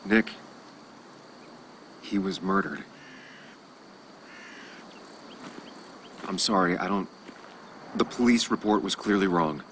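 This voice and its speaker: Deep-voiced, brooding journalist. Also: male voice, measured pace, fairly bright tone, slight background noise. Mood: somber. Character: brooding, earnest male journalist returned to hometown. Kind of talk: video game drama acting with inner monologue.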